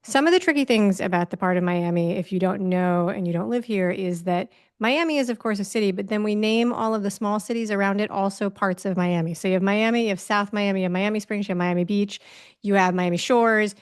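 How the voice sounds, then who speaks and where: This is a woman with a middle-pitched voice, hosting an interview. She talks in a chatty, conversational way with a light note of amusement, and adds explanatory asides for her listeners. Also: a little fast, clean tone. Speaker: interview host.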